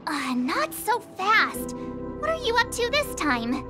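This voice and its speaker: A perky teenage girl adventurer in a video game, quick to get suspicious and indignant. The voice is extremely high and bouncy, with music clearly underneath. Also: full character voice. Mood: flustered. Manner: girlish, expressive, indignant.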